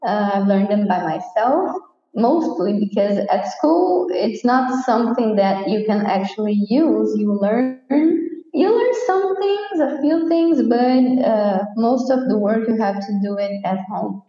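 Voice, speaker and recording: Slow-talking Brazilian woman on an echoey call. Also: a little high, warm tone, dull audio. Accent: Brazilian Portuguese. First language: Brazilian Portuguese. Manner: hesitant, many "uh" fillers, laughs shyly. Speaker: guest, English teacher. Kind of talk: interview answers.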